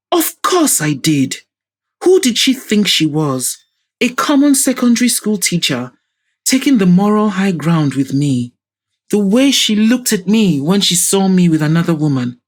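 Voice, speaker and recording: A woman's voice: deep voice, heavily acted. A woman playing an arrogant middle-aged businessman in an audio drama, pompous, mocking and self-righteous. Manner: pompous, scornful.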